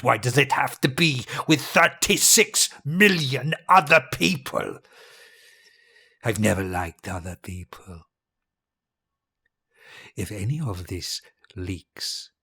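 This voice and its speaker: An actor playing a cruel, vain old dictator in an audio drama, speaking in a grandiose, rambling way with a husky voice. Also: male voice, mid-range voice, fairly bright tone, full character voice. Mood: irritated, imperious, then vengeful, commanding. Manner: grandiose, rambling, imperious, halting. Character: cruel, vain old dictator giving his dying speech.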